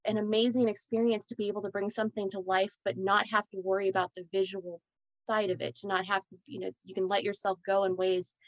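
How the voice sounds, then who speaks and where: A woman's voice: medium pitch, flat delivery, quick pace, no room sound, low-quality recording. A woman host asking questions in a casual interview, rambling and restarting her sentences. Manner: rambling, hesitant, many restarts.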